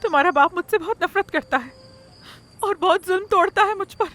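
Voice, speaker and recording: A woman's voice: very high voice, fairly quick, fairly bright tone. A woman playing an anguished mother in a dubbed drama, pleading tearfully for her son. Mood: tender, anxious. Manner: tearful, imploring.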